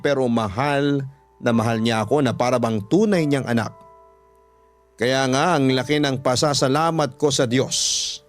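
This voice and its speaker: A man with a Filipino accent, a radio host reading a listener's letter as scripted story narration. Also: mid-range voice.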